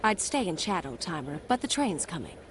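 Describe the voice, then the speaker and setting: A woman's voice: lowish voice, sing-song, brisk, noisy background. A woman playing a tough, wry frontier businesswoman who narrates her family's saga in a video game cutscene. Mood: brisk, confident.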